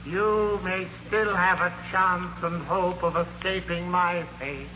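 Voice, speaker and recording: An actor playing a mournful, doom-laden ghost in a 1940s radio drama, wailing and declaiming warnings. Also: male voice, high voice, fairly even intonation, slow. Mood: solemn warning. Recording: tinny, reverberant, noisy old radio recording.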